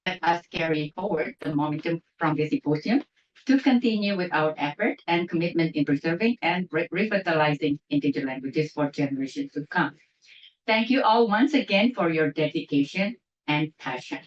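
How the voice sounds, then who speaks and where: A woman's voice: sits low, animated, moderate pace. A woman from Indonesia giving a prepared closing speech at an academic symposium, careful and restarting on long words. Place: lecture hall. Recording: echoey lecture hall, distant mic, muffled.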